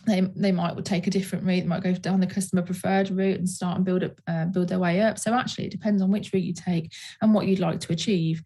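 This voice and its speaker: A calm, measured and reassuring woman with a slightly husky voice, giving a sales training presentation. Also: fairly low pitch. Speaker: guest trainer for direct-sales team. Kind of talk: training presentation over video call.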